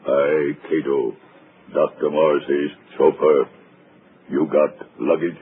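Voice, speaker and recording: A hulking, gruff giant chauffeur. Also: male voice, rumbling low, little pitch movement. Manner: slow, clipped, broken English. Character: huge menacing male chauffeur, gruff, broken speech. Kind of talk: 1940s radio acting. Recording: tinny, hissy 1940s radio broadcast.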